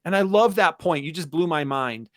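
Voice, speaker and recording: Enthusiastic man with a higher-pitched voice. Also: animated, moderate pace, fairly bright tone, no echo, clean studio sound. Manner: enthusiastic, emphatic, personal. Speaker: podcast and webinar host. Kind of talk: conversational educational webinar talk.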